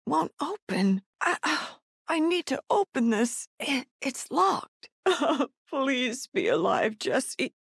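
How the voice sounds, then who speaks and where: A woman's voice: sits high, very animated, slow pace, gravelly, slightly rough, no echo, clean studio sound, exaggerated voice. A woman playing a frightened, desperate mother in a survival horror video game, with pleading, gasping delivery. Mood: frustrated, then desperate, grieving. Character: desperate, frightened mother searching for her missing son.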